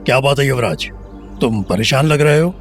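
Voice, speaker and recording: A man acts out an older, well-off father in an audio drama. His voice sits at a middle pitch, with a measured, placating manner that betrays unease, over background music. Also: animated, lightly acted. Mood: concerned. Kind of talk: Hindi audio drama acting.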